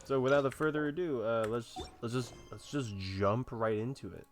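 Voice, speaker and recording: A woman's voice: extremely low pitch, normal speed, soft background music. A woman giving casual commentary as she records a video game playthrough.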